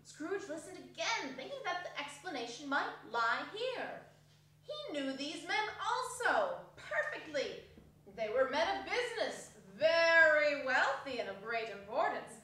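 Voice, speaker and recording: A woman's voice: sits high, very animated, unhurried, pushed and bright. A woman narrating a story as a theatrical dramatic reading. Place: indoor arts center hall, live performance. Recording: distant echoey hall, faint noise.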